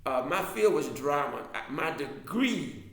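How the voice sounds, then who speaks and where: A man's voice: fairly high pitch, animated, a little slow, fairly bright tone, reverberant. Rambling older man, mild Southern accent. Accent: mild Southern American. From Alabama, US South. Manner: digressive, many restarts and fillers. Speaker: author and lecturer. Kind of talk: rambling talk to a class.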